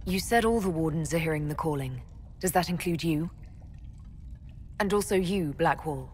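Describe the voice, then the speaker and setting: An actress playing a composed, purposeful female leader in a fantasy game, husky and measured. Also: sits low, lively intonation, a little mellow, faint music behind. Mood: questioning. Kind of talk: dramatic fantasy game acting.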